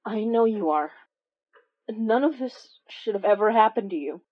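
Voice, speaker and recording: Woman playing an unhurried, anxious woman investigator. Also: mid-range voice, animated, no echo. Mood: subdued, uneasy. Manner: halting, urgent, earnest. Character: anxious, earnest, protective young woman investigator. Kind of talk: audio drama acting. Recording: dry, slightly dull close recording.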